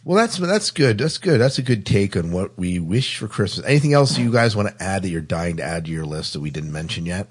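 A man's voice: low voice, very animated, brisk, hardly any pauses, breathy edge. An American man hosting a casual podcast chat, easygoing and often repeating short phrases of agreement. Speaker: podcast host.

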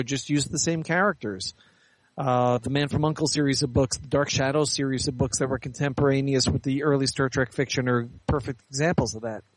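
A man's voice: a little high, no echo, poor audio. A middle-aged or older man answering interview questions in a candid, articulate, explanatory way. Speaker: interview guest, science fiction author and editor.

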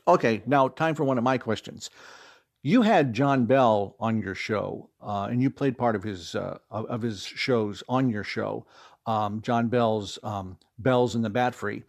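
A man's voice: lowish voice, unhurried, creaky. Middle-aged American man telling anecdotes. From Fort Wayne, Indiana, United States. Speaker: interview guest, audio drama creator and stage actor. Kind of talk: conversational interview, telling anecdotes.

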